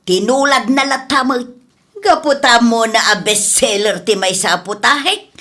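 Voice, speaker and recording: A woman's voice: fairly high pitch, unhurried, sharp tone. An older woman playing a proud, grumbling elderly widow who runs an eatery in a radio comedy drama. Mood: indignant, complaining. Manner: indignant, complaining.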